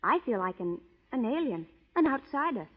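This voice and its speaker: Spirited schoolteacher, muffled old radio. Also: female voice, on the high side, very animated, moderate pace. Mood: earnest, unsure. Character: spirited, independent young frontier schoolteacher. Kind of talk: 1930s-40s radio drama acting.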